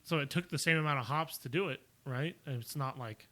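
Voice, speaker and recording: A young man with a bright, ringing voice chats loosely while reviewing beer with friends. He laughs often and circles back on his points, hedging as he goes. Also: mid-range voice, fairly quick. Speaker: podcast co-host reviewing beer. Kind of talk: casual chat.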